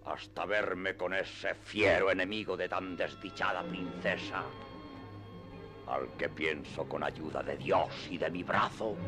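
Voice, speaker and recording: An actor playing a grandiose, deluded old knight-errant in a radio drama, with solemn, declamatory, archaic speech. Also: male voice, deep voice, creaky. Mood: solemn, boastful. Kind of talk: theatrical radio drama acting.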